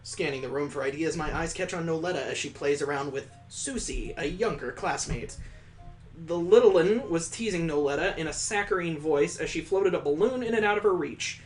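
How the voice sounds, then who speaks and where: A game playthrough host of unclear gender, reading visual novel narration aloud in an expressive, jokey way with casual asides. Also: medium pitch, brisk, faint music behind, poor audio.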